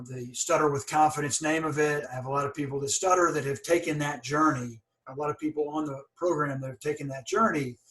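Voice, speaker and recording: Earnest man who stutters, a bit high. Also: fairly bright tone, poor audio. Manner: stutters, with blocks and repeated syllables; candid and earnest. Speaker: podcast host. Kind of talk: casual interview chat.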